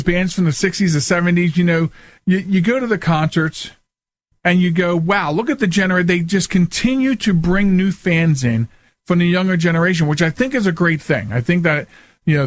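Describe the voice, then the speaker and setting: A man hosting a talk show in a casual, chatty, rambling storytelling way. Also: fairly high pitch. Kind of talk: casual interview chat.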